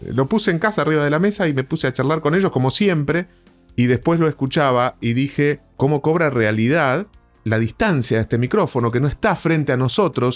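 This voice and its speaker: A man with an Argentine accent hosting a podcast interview in a conversational style. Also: fairly high pitch.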